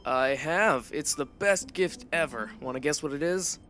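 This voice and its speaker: Easygoing, playful anime-drama housemate, low female voice, affectionate woman actor. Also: measured pace, fairly bright tone, soft background music. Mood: teasing. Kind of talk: anime fan audio drama.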